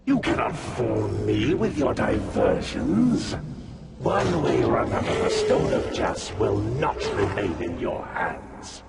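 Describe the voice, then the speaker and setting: Fantasy game dark god: stern, imperious, heavy rasping creak, expressive, slightly distant. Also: male voice, lowish voice, slow, exaggerated voice. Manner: formal, imperious. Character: stern, haughty, suspicious male dark god. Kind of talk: fantasy video game acting.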